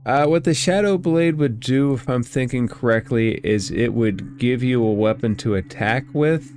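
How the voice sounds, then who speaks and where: A man's voice: mid-range voice, normal speed, a little mellow, a little hiss or hum. A man acting as game master, narrating and explaining rules in a conversational way during a tabletop role-playing game.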